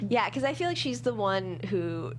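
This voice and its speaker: A woman's voice: medium pitch, sing-song, normal speed, a little hiss or hum. A woman chatting casually as a screenwriter in an interview, self-deprecating and peppering her talk with "like".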